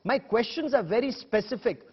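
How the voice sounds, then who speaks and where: A man's voice: very high-pitched, expressive, moderate pace, husky, dull audio. A man with an Indian accent hosting a TV news debate, insistent and confrontational, with emphatic repetition. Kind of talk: combative news debate moderating.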